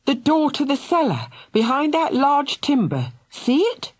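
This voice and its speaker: A woman's voice: high voice, sing-song, measured pace, pitched above the performer's own voice. A woman voicing a firm father urgently calling for his children. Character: firm, determined father searching for kids.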